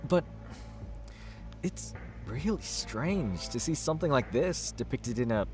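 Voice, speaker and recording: Animated, nerdy art buff. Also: male voice, medium pitch, a little slow, soft background music. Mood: scholarly, musing. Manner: expressive, lecturing, teasing. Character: chatty, nerdy, good-natured male art buff friend. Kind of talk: video game voice acting.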